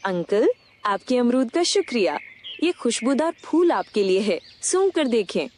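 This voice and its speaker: A woman's voice: on the high side, very animated, measured pace, a little mellow, a little hiss or hum. A woman voicing a lazy, cheeky young female bird in a children's cartoon, insolent and scheming. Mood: sly. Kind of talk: Hindi children's cartoon dub.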